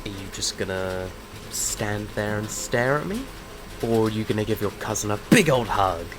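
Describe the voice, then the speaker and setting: A man's voice: on the low side, animated, normal speed, noisy background, natural voice. A man acting a brash, flippant monster hunter, defensive and teasing, in an audio drama.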